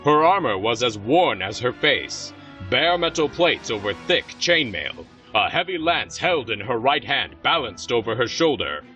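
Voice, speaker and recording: A man narrates a scripted fantasy story as the narrator of an audio drama. His delivery is lively and forceful, captured a touch dully over soft music. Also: medium pitch, unhurried. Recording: slightly dull, music underneath.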